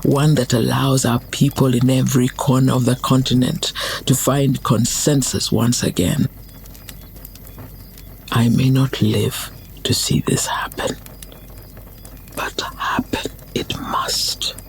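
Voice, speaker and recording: A man's voice: medium pitch, flat delivery, in a whisper. A man playing a wise old clan elder, telling his story reflectively and solemnly in a motion comic. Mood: solemn, tender. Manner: slow, reflective storytelling with breathy phrase endings. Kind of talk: dramatic narration in a motion comic. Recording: music behind the voice. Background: music.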